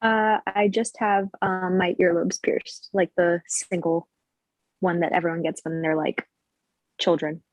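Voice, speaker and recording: A woman of twenty-four giving relaxed, casual interview answers, with many fillers. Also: lowish voice, measured pace, dry recording, rough recording. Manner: relaxed, laughing, filler-heavy.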